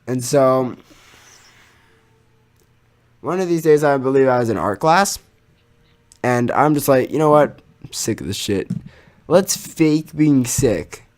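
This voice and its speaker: A young man with a mid-pitched voice tells a personal story online. His delivery is casual and animated, full of slang, exaggeration and swearing. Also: takes it slowly, long pauses. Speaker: storytelling YouTuber.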